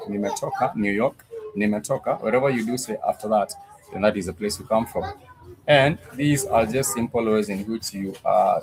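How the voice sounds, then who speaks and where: A man's voice: lowish voice, poor audio. A man teaching a live online language class, patiently repeating each phrase several times.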